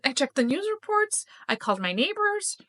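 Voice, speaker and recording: A middle-aged woman and host of her own channel, telling a personal story to camera, emotional and halting, with frequent restarts. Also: sits high, sing-song, sharp tone. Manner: emotional, halting, many restarts and self-interruptions. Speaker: spiritual YouTube channel host.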